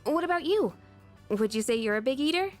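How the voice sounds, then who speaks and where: A woman playing a chatty, self-deprecating high school girl in a video game. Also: lively intonation, brisk, soft background music. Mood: chatty, modest.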